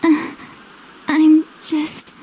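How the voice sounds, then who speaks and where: Fragile, very high-voiced despairing girl. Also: completely flat delivery, mellow, a little hiss or hum, poor audio. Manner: halting, plaintive. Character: fragile, despairing young woman losing her identity. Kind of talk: dramatic video game acting. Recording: music under the voice.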